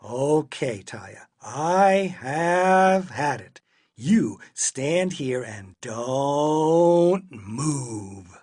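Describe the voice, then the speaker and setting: A man playing an exasperated, excitable father in a children's story. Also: high voice, extremely slow, few pauses, voice pushed up. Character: exasperated, excitable father scolding his daughter.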